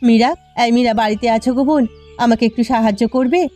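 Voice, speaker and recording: A woman's voice: a little high, fast, mellow, faint music behind, natural voice. Woman voicing a humbled, pleading village woman. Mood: pleading, apologetic. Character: humbled, once-arrogant village woman begging for help. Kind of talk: cartoon voice acting.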